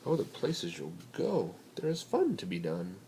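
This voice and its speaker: A man reading a children's picture book aloud in an expressive, playful, rhythmic way with dramatic pauses. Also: low-pitched, creaky. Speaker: bedtime story reader. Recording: noisy amateur home recording.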